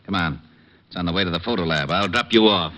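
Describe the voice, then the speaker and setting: An actor playing a gruff, sarcastic police lieutenant in an old radio drama. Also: male voice, deep voice, fast talker, sharp tone. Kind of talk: 1940s radio acting. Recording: dull lo-fi old radio recording.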